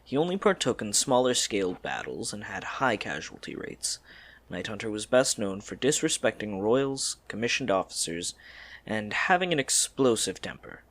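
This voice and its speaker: Low-voiced man narrating with lively swings. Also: normal speed. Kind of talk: scripted story narration.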